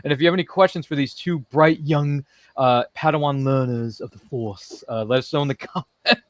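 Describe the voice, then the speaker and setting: Male podcast host, medium pitch, dry close sound, loose jokey chat, tends to ramble and repeat himself. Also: fairly quick, no room sound. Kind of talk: casual live interview chat.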